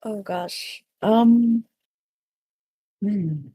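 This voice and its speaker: A woman's voice: mid-range voice, very slow, warm tone, slightly rough. A woman chatting casually and hesitantly as a podcast panelist. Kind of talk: casual chat.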